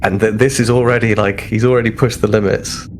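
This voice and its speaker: A man's voice: lowish voice, steady tone, a little fast, a little hiss or hum. A man chatting casually as a player in a tabletop game, improvising narration for his character.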